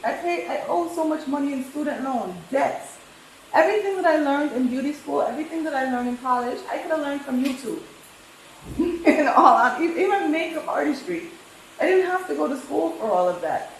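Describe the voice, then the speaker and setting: Opinionated woman cook with a New York-Jamaican accent, slightly high voice, echoey room. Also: animated, fairly quick, slight background noise. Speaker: cooking competitor. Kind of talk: casual chat while cooking. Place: rental apartment kitchen.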